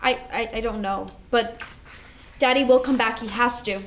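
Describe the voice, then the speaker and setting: A woman's voice: fairly high pitch, steady tone, moderate pace, slight background noise, lightly acted. A woman playing an anxious young girl in a stage play reading, soothing and hopeful as an elder sister.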